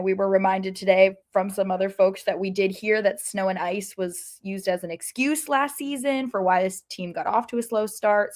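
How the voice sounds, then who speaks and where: An American woman talking casually as co-host of a sports podcast. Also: mid-range voice.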